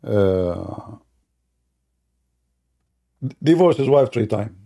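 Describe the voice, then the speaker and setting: Man, mellow voice. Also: lowish voice, lively intonation, very slow, creaky. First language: Arabic. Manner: loose, talky storytelling with non-native grammar and frequent fillers. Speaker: commentator. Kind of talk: casual commentary while showing a video on screen.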